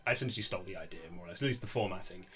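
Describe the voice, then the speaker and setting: A man's voice: deep voice, very fast, a bit bright. A man chatting casually as an interview guest, telling anecdotes in a self-deprecating way.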